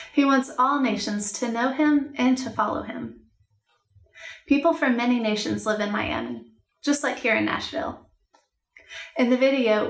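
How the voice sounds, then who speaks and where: A woman delivers a children's missions lesson in a gentle, encouraging tone. Her voice sits a little high. The room around her is echoey.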